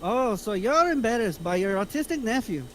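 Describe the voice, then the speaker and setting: A whiny, nervous small-time crook acted by a man in a table read. He is high-pitched and animated, always indignant, with faint noise behind him. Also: normal speed. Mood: petulant. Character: Danny, jittery, cranky male crook, petulant complainer.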